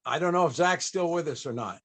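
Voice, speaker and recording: Male interviewer, somewhat high voice, feels his way gently into each question. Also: fast talker. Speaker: interviewer, panel host. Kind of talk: conversational interviewing. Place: video call.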